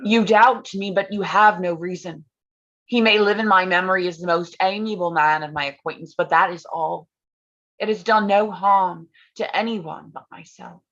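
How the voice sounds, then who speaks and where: A woman playing a gentle, forbearing young gentlewoman in a staged reading of a period drama, earnest and polite, on slightly dull audio. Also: lowish voice, moderate pace, lightly acted. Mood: wistful.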